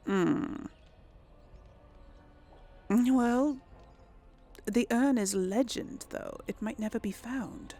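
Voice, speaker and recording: A woman's voice: a little high, very slow, long pauses, warm tone, soft background music. A woman hosting a casual let's play, thinking aloud and often trailing off into mutters. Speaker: gaming video host.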